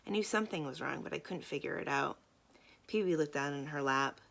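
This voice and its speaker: A woman's voice: deep voice, a little fast, vocal fry, a bit bright. A woman reading a children's novel aloud as a storyteller. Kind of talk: scripted read-aloud narration of a children's novel.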